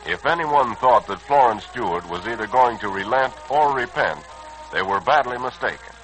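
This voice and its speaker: A man's voice: mid-range voice, lively intonation, moderate pace, fairly bright tone, low-quality recording. A man narrating a 1940s radio serial as its announcer, formal and dramatic in delivery. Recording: old 1940s radio recording. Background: music.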